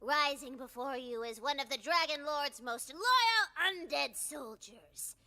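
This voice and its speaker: A woman voicing an excitable, bossy young girl who plays theatrical game master in a video game. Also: high voice, measured pace, a little hiss or hum, exaggerated voice. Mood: excited. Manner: playful, dramatic, bossy.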